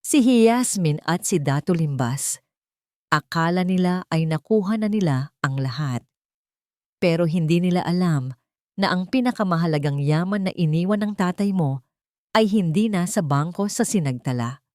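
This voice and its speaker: A woman's voice: on the low side, expressive, moderate pace, a little mellow, no echo, clean studio sound, natural voice. A woman voicing a loyal, resolute female friend in an audio drama story, speaking firmly and urgently. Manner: firm, urgent.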